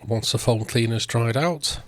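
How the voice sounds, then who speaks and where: A man hosting a repair video, talking through the work step by step in short, unhurried phrases. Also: medium pitch. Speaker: host of electronics repair videos. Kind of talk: narrated repair walkthrough.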